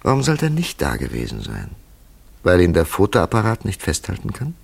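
Radio drama: grave philosophical man, gravelly and unhurried, with widely moving intonation. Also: low-pitched, huge pitch swings, exaggerated voice. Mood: solemn, contemplative. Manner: measured, solemn, philosophical. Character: grave, thoughtful man pondering a supernatural mystery. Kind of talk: German radio play acting.

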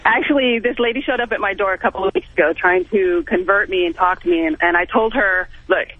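A man's voice: sits high, fast talker, a little hiss or hum. A man calling a radio show over a tinny phone line, casually venting with anecdotes. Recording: tinny, narrow telephone line.

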